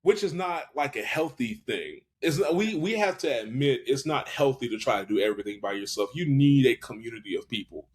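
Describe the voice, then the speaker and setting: A man's voice: medium pitch, lively intonation, a little fast, hardly any pauses. A man in his twenties, a podcast guest talking casually and reflectively, hesitant, with many restarts and fillers. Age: 20s. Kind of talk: casual reflective interview talk.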